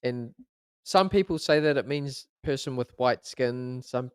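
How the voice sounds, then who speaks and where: A man, co-hosting a podcast, chatting in an easygoing way and explaining things with gentle hedges. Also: medium pitch, measured pace, smooth, no echo, clean studio sound. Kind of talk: casual chat.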